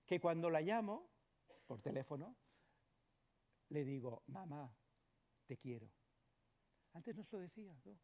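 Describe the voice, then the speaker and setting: Man, high voice, middle-aged, lecturer, chatty, keeps asking the audience. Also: animated, measured pace, long pauses. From Barcelona, Spain. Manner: conversational, frequent tag questions, trails off quietly. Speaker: psychologist giving a talk. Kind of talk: conference lecture to doctors. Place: lecture hall.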